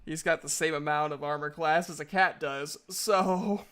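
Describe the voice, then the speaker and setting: A man narrating a tabletop game as game master in a halting, informal, slangy way. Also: a little high, fairly even intonation, a bit bright, clean tone.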